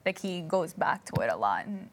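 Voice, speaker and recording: Woman, from Colombia, mid pitch, soft-spoken panelist, faint noise. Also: big pitch swings, moderate pace.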